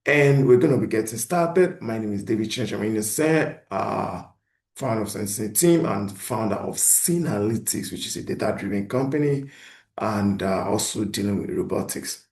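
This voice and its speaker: A man's voice: on the low side, normal speed, vocal fry, reverberant. A man lecturing beginners, often asking a question and then answering it himself. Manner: animated teacher who poses questions and answers them himself. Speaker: instructor.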